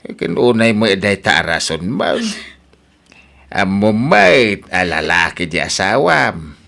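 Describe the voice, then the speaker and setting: A man's voice: deep voice, big pitch swings, gravelly, sharp tone, full character voice. A man acting as a stern father who lectures his daughter and defends her husband. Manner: slow, lecturing. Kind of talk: Ilocano radio drama acting.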